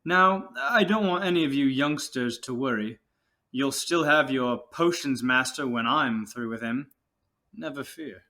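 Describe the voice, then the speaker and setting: A man's voice: medium pitch, a little slow, bright, forceful tone. A man playing a vain, showy wizard teacher in an audio drama, pompous and theatrical. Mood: boastful. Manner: pompous, theatrical, self-satisfied.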